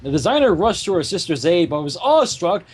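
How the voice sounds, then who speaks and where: A man reading fan fiction aloud as the narrator, in an animated storytelling style. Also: high-pitched, brisk, slight background noise.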